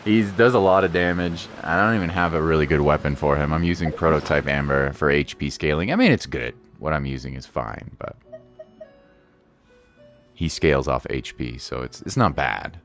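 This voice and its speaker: A man's voice: extremely low pitch, normal speed, a bit bright. A man hosting a gaming livestream, chatting casually and thinking aloud in an informal, rambling way as he comments on his play. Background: game music.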